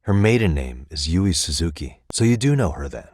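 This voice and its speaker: Earnest video game detective: low, husky voice, measured and matter-of-fact as he reasons things out. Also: very animated, a little mellow, dry recording, clean studio sound, full character voice. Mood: serious, probing. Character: earnest, analytical male detective reasoning through a case. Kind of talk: English video game voice acting, conversational deduction.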